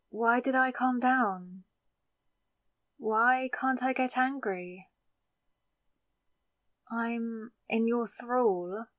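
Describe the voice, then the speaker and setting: A woman's voice: on the high side, no room sound. A woman acting in an audio roleplay as a confused, hungover new vampire, wary and sarcastic, muttering in exasperation to herself. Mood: alarmed, defiant, then confused, demanding.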